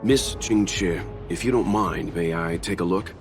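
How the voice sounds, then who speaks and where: An actor playing a calm, courteous, reserved mature gentleman traveler in a video game, speaking politely and in a measured way. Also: deep voice. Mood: polite, composed. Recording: game music behind the voice. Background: background music.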